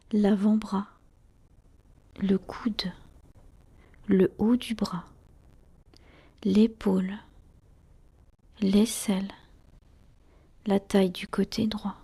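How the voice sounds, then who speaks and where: Female meditation guide: soft, breathy near-whisper, dark and relaxed tone, soothing short phrases with long pauses. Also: medium pitch. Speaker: yoga nidra guide.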